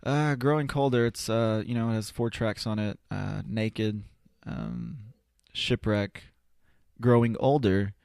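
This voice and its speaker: A man talking candidly and reflectively as a podcast guest, haltingly, often falling back on "you know". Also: on the low side, steady tone, creaky, darkish tone, smooth, dry recording, clean studio sound. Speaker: interview guest, spoken-word poet. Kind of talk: casual, candid podcast conversation.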